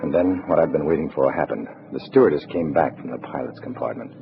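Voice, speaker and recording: An actor with an American accent playing a cool undercover agent in a 1950s radio drama, narrating in a clipped, wry way. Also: male voice, very deep voice, fast, gravelly. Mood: tense, brooding. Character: cool undercover agent narrating his double life as communist. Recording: dull vintage radio, music behind.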